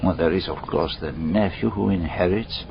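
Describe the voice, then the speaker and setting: An actor playing a shrewd, methodical foreign detective in a radio drama, deliberate and courteous, musing aloud in rhetorical questions. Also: male voice, low-pitched. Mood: pondering, explaining. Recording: dull radio recording, clearly noisy background.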